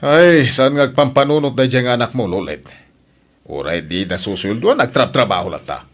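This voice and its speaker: A man's voice: medium pitch, expressive, moderate pace, faint music behind, natural voice. A man playing a demanding, irritable father in an Ilocano radio drama, scolding and nagging with an Ilocano accent. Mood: grumbling. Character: demanding, irritable father pressing his daughter for money.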